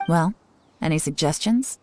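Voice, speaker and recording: An actress playing a cool, shrewd woman intelligence agent in a video game, composed and measured. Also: low voice, lively intonation, darkish tone, faint music behind. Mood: shrewd, probing.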